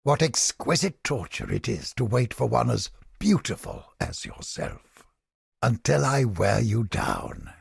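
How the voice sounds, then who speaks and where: A man playing a haughty, creepy mind-reading interrogator in a video game, with a pompous, florid, theatrical delivery and a raspy, gravelly voice. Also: medium pitch, wildly swooping pitch, a little slow, dry recording, clean studio sound, exaggerated voice. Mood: oily flattery. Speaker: game character. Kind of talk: video game character voice lines.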